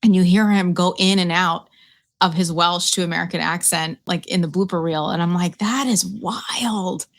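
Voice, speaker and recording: A woman's voice: lowish voice, steady tone, moderate pace. A woman chatting casually as a podcast panelist, talking in loose, rambling sentences full of fillers. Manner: chatty, many fillers and repeats. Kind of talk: casual chat.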